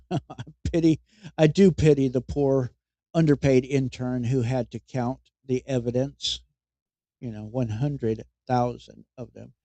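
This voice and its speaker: Male podcast host, slow and wry, mellow tone, dry close mic. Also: mid-range voice, steady tone, no echo. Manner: wry and mocking, chuckles at his own jokes; halting, repeats words as he goes. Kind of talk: comedic news commentary.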